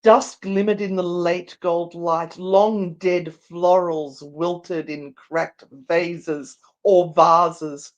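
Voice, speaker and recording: Slow, theatrical female storyteller. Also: lowish voice, steady tone, highs cut off. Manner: deliberate, theatrical. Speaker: narrator and co-host. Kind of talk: dramatic story narration, live read.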